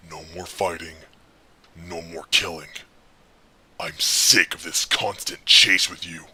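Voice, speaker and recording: An actor playing a brooding, world-weary masked vigilante in an audio drama, with a raspy growl and a slow, grave delivery. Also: male voice, deep voice, fairly even intonation, pushed and bright, heavily acted. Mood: weary pleading.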